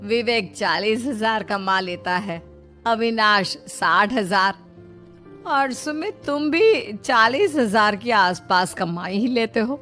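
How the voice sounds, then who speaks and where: A woman voicing a middle-aged mother-in-law in a moral story cartoon, deliberate and admonishing, caring but scheming. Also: medium pitch, lively intonation, fairly bright tone, soft background music. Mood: pleased.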